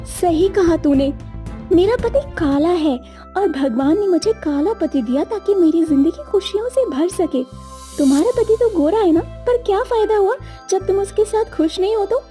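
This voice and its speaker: Very high, soft young wife voiced by a woman. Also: animated, normal speed, soft background music. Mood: proud rebuking. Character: earnest young wife devoted to her husband. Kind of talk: Hindi moral-story cartoon dub.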